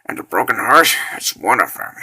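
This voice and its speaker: A man playing a gruff, fatherly warrior companion in a comic dub, folksy and plainspoken. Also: on the low side, very animated, moderate pace, sharp tone, full character voice, rough recording. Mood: weary, protective. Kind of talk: dramatic comic dub acting.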